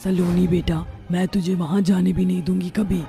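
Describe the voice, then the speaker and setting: A woman's voice: fairly low pitch, level tone, normal speed, gravelly, mellow, faint music behind. A woman voicing a man-eating witch turned doting mother in a Hindi cartoon story, exclaiming and talking to herself expressively. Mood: motherly, protective.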